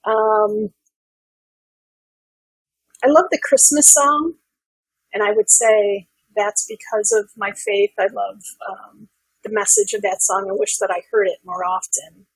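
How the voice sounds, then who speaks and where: A woman with a very husky, rough voice that often drops into fry, recorded close and dry. She speaks as an interview guest, earnest and conversational, working out her thoughts aloud. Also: medium pitch, animated, unhurried, long pauses, no room sound, rough recording. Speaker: podcast guest, women's business advocate.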